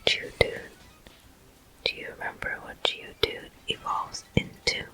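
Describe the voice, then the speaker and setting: A person whispering ASMR game commentary in a deliberate manner, pausing between short phrases. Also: sharp tone. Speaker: gameplay host.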